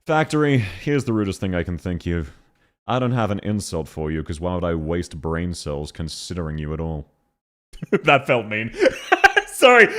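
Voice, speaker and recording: Lively, playful Australian streamer guy. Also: mid-range voice. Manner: playful, laughs often, chatty and easily sidetracked. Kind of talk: casual live-stream chat.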